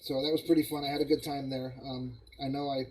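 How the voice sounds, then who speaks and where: A man with a cold, hoarse and coughing, hosting a live stream and chatting casually to viewers while building models. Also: medium pitch, level tone, fast talker, dark tone, rough recording. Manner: rambling, friendly, greets viewers by name.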